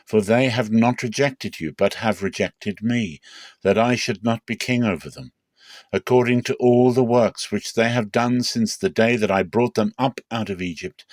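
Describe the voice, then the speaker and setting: A man's voice: mid-range voice, dry recording, lightly acted. Man reading as a grave, commanding God. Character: almighty God, rejected and grave, instructing his prophet. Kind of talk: dramatic scripture reading.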